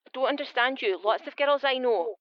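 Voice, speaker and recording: A fifteen-year-old girl pleads and argues stubbornly in a radio play. Her highish, naive voice comes dry and dulled through a telephone line. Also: a little fast, dry recording. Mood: defiant, pleading. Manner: pleading, argumentative. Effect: telephone filter. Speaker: actor. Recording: dull band-limited phone line.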